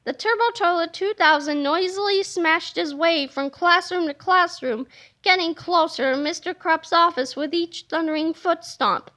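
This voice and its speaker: Very high, bright woman reading aloud. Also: moderate pace. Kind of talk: expressive children's book narration.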